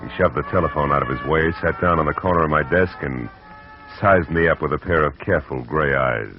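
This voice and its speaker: A man's voice: a bass voice, brisk, music in the background. A man playing a hard-boiled private detective who narrates in a terse, wry way in an old radio drama. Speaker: narrator and lead. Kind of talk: 1940s radio acting. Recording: muffled old radio recording with loud hiss.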